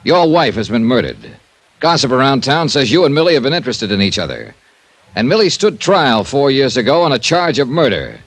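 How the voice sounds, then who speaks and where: A hard-boiled insurance investigator in 1950s radio style. His mid-pitched voice moves through lively swings, wry and skeptical, pressing his point with clipped phrases. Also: fairly quick, no room sound, poor audio. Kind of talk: 1950s radio detective drama acting and narration.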